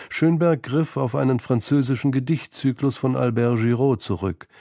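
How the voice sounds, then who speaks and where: A man's voice: mid-range voice, warm tone, no echo, studio quality. A man reading scripted narration as the narrator of a literary feature.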